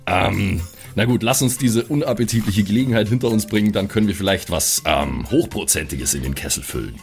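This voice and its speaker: A man's voice: fairly low pitch, animated, brisk, creaky, faint music behind. A man playing a dry, sardonic adventurer in a fantasy audio play.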